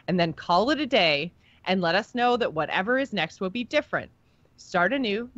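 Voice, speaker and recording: A woman's voice: mid-range voice, a bit bright, dry recording, dull audio. A woman co-hosting a gaming podcast, talking casually and with an informal fan's enthusiasm. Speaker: podcast co-host. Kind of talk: casual chat about video game lore, reading listener email.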